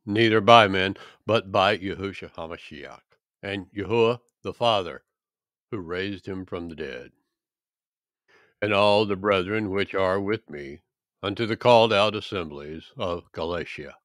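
A man reading a Bible chapter aloud in a measured, deliberate, solemn style. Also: deep voice, fairly bright tone, no room sound, clean studio sound. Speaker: scripture reader.

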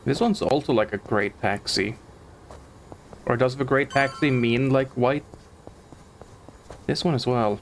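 A man's voice: medium pitch, unhurried, long pauses, slight background noise, low-quality recording. A man chatting casually as a live streamer while he comments on the game he is playing.

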